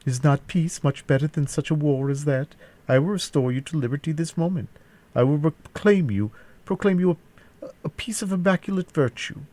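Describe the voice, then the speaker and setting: Man voicing a jeering, high-pitched villainous nobleman. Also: normal speed, dark tone, lightly acted. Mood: mock-coaxing. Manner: jeering, mock-cajoling, threatening. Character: jeering, menacing aristocratic male villain and captor. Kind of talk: classic novel audiobook character voice.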